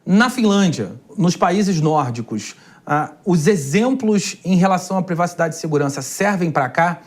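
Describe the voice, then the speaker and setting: A man hosting a Brazilian TV interview program, putting measured, formal questions to his guest. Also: high voice, lively intonation. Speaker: TV program host.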